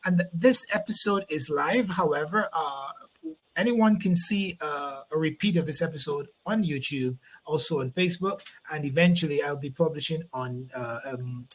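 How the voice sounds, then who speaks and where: Host: Barbadian man, slightly high voice, rambling video-call interviewer. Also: moderate pace, low-quality recording. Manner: rambling, repeats words while finding phrasing. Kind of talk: live online interview.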